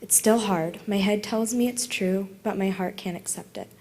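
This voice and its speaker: A woman's voice: medium pitch, normal speed, darkish tone. A woman reading a written personal tribute aloud at a memorial service. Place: memorial service in a room full of people.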